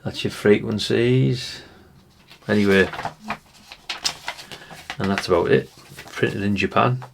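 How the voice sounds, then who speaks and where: Low-pitched middle-aged British man, chatting casually. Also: slow pace, vocal fry, pauses often, a little hiss or hum. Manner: rambling, chatty, addresses viewers informally. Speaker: vlogger showing vintage CB radios and catalogues. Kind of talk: casual unscripted show-and-tell chat.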